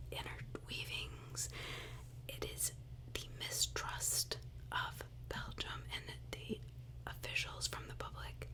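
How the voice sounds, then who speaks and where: A woman whispering a true crime story in ASMR style, in a conversational way that puts questions to the listener. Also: rough recording.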